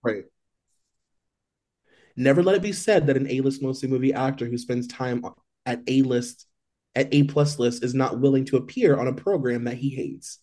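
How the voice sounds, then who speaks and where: Mellow-voiced man chatting gossip. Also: medium pitch, moderate pace. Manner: loose and chatty, heavy on "like". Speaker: podcast co-host. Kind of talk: casual celebrity gossip chat.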